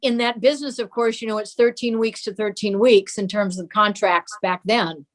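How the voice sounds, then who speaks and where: An older woman with an American accent reminiscing as an interview guest, reflective, pausing and repeating words for emphasis. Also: medium pitch, steady tone. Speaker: interview guest, daughter of a radio and TV performer.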